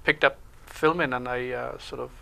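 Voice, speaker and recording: A Welsh man chatting casually in a local TV interview, talking about himself with lots of pauses and fillers. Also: mid-range voice, creaky. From Wales. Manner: relaxed, hesitant with many uh fillers. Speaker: show co-host and security instructor.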